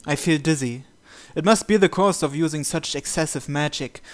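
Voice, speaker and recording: Male reader, smooth resonant voice, slightly high, measured story narration, visual novel playthrough. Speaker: video game player reading the script aloud.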